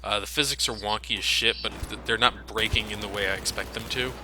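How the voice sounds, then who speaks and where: Low-voiced male gamer over noisy game. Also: steady tone, fast talker. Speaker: let's play commentator. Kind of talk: casual game commentary. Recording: noisy game sound under commentary.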